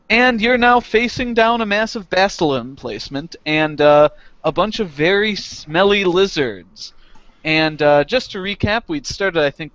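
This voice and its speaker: A man's voice: fairly high pitch, a bit bright. A man in his late twenties acting as game master, chatting casually and then recapping the game. He talks over a headset on a video call and laughs often. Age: 20s. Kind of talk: casual chat and game narration. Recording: dull lo-fi call audio.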